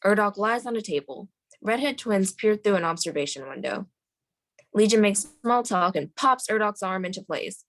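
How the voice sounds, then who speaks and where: A woman's voice: medium pitch, lively intonation, moderate pace. A woman reading scene directions as the narrator at a screenplay table read.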